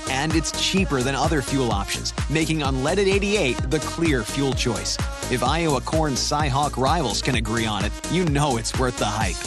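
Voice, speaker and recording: An American man reads a scripted radio commercial. His voice is somewhat higher than the average man's, with music clearly playing underneath. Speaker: commercial voice-over. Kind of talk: scripted advertisement read. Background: music bed.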